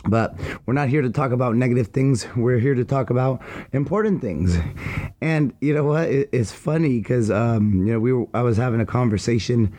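A man hosting a casual solo podcast, rambling with hype-man banter and a slightly hoarse voice after a wild weekend. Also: mid-range voice, normal speed, warm tone. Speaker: podcast host.

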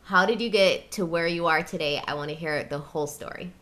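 A woman's voice: lowish voice, fairly quick, pushed and bright, a little hiss or hum. A woman hosting a casual interview, recalling her guest's progress and asking encouraging questions.

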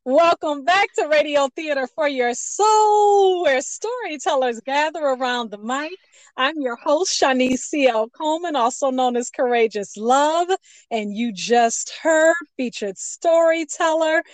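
A woman's voice: high-pitched, dry recording. A woman radio host presenting a storytelling interview show in an encouraging, affirming way.

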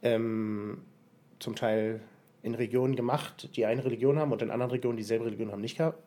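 A man's voice: mid-range voice, steady tone, fast talker, warm tone. A young man co-hosting a podcast in casual chat, hesitant, with many fillers and restarts. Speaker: podcast co-host.

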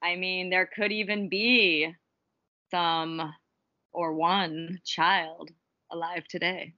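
A woman's voice: lowish voice, fairly even intonation, slow, no room sound, highs cut off. A young woman co-hosting a podcast in casual chat, bubbly and giggly. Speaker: podcast co-host.

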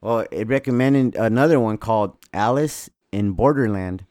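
A man's voice: on the low side, moderate pace, no echo, studio quality. A man co-hosting a podcast in casual chat, rambling and swearing freely. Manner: profane, excitable, rambling. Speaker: podcast co-host.

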